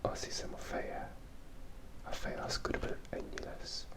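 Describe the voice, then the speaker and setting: An ASMR channel host whispering a playful, rambling commentary while drawing, thinking aloud and often restarting phrases. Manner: soft unvoiced whisper throughout.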